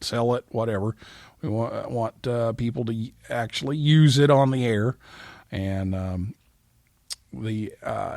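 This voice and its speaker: A man's voice: mid-range voice, dry recording, studio quality. A man hosting a live radio show, reading announcements in an informal, conversational way.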